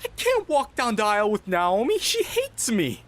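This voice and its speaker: A man's voice: high-pitched, wildly swooping pitch, normal speed, faint music behind. A man acting a grumpy, lovelorn young man in an audio drama, with a dramatic, grumbling delivery. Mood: distressed.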